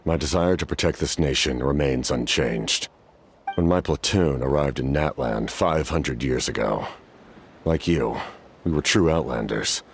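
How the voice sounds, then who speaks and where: Very deep, solemn warrior captain. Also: male voice, a little hiss or hum. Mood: solemn, reflective. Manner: formal, grave, measured. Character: solemn, honourable centuries-old male warrior captain, stoic. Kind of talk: scripted video game voice acting.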